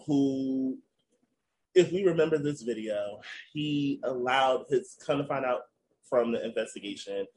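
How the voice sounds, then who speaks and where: A man's voice: medium pitch, unhurried, darkish tone, a little hiss or hum, poor audio. A man hosting a casual podcast, recounting a news story to his cohosts in a storytelling way. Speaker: podcast host.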